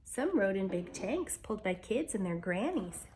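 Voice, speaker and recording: A woman reading a children's picture book aloud with expressive, dramatic storytelling delivery. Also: medium pitch, moderate pace.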